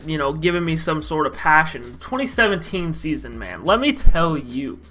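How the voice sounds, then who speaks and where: A young American man with a fairly high, bright voice tells a casual story to camera from a small bedroom. He speaks like an animated fan, slangy and emphatic. There is faint music in the recording. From Idaho, United States. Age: young adult. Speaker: YouTube vlogger.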